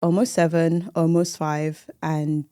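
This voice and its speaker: A woman's voice: low-pitched, level tone, slow pace, mellow, no room sound, clean studio sound. A woman in her early thirties chatting casually as a guest on a podcast. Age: early 30s. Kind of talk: casual chat.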